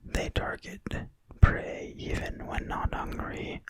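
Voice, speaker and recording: A person reading in a quiet, breathy whisper, soft and deliberate, as in an ASMR reading of a fantasy book. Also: no echo. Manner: slow, soft, unhurried, deliberate. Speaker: ASMR video creator and reader.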